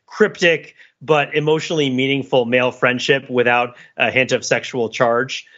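A man's voice: mid-range voice, fairly even intonation, normal speed, fairly bright tone. A man hosting a casual film discussion podcast with wry, mock-analytical joking, recorded on a slightly dull microphone. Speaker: podcast host leading the discussion.

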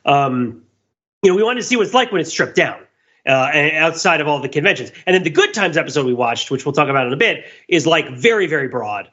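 Bright, higher-voiced man talking pop culture. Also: animated, quick pace, muffled recording. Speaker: podcast co-host and commentator. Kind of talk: casual analytical discussion.

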